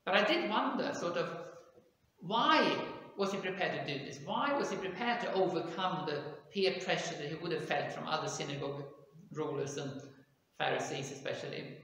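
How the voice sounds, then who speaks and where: Bright-voiced pastor in an echoey church. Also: male voice, on the high side, expressive, normal speed. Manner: conversational, explaining with hedges like "kind of" and "if you like"; gestures with his arms at the pulpit. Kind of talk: sermon. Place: small church, indoors. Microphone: pulpit mic on a stand, far camera.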